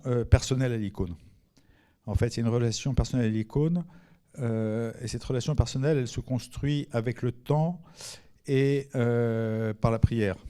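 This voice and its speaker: A man's voice: mid-range voice, warm tone, smooth. A man, a priest speaking as a panel guest, reflecting personally in a modest, hesitant way.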